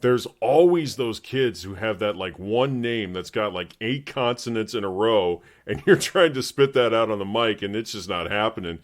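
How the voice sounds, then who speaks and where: A man's voice: lowish voice, expressive, normal speed, hardly any pauses. An American man hosting a conversational sports interview, chatty, with long lead-ins to his questions. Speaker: interviewer, host.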